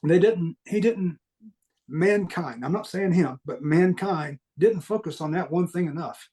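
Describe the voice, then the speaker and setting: A man with a mild Southern US accent, speaking earnestly and haltingly as he reflects on his own experiences in a conversational interview. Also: high voice, warm tone. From American Deep South. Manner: earnest, halting, repeats phrases for emphasis. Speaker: podcast guest recounting paranormal experiences.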